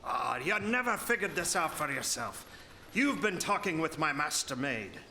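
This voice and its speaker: A man playing a gruff, bossy troll master in a radio play, threatening and suspicious. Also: a little high, very animated, normal speed, pushed and bright, a little hiss or hum, full character voice. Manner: threatening, commanding.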